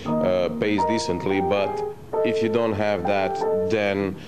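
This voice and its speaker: Deep-voiced Romanian man, halting speech. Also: steady tone, rough recording. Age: 30s. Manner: hesitant, many filler pauses, light laughs. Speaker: professional opera singer. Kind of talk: casual interview answers. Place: opera rehearsal at a music school. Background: loud music from the rehearsal.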